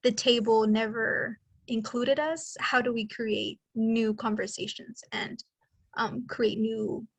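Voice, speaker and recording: A woman's voice: on the high side, steady tone, slow, creaky, breathy edge, dry recording, low-quality recording. A woman in her twenties co-hosting a podcast, talking in a reflective, rambling way with many fillers. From Mexican American. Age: 20s. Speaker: podcast co-host. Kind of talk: casual conversation.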